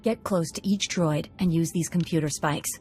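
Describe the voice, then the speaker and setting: A woman's voice: sits low, normal speed, a little mellow, faint music behind, lightly acted. A woman playing a tough, cocky convict hacker in a game cutscene, confident and matter-of-fact. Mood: confident, businesslike. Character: tough, cocky female convict computer slicer.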